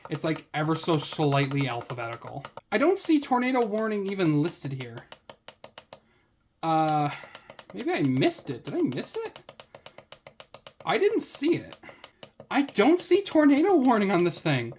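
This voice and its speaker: A woman's voice: lowish voice, huge pitch swings, a little slow, pauses often, darkish tone, a little hiss or hum. A woman reviewing a gadget in a casual unboxing commentary, with a sarcastic, wry way of talking.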